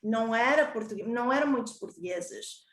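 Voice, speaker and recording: A woman speaks slowly on a panel, searching for her words and stopping often. Her voice sits fairly high. The room around her gives a noticeable echo. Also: expressive. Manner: slow and searching, speaking a second language with effort. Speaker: panel guest. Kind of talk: online panel interview.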